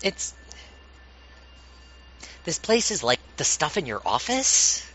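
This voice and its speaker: A man's voice: a little high, sing-song, slow, pushed and bright, soft background music, exaggerated voice. A man playing a sullen, skeptical teenage therapy client in an audio drama, terse and wary. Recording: muffled, very noisy background.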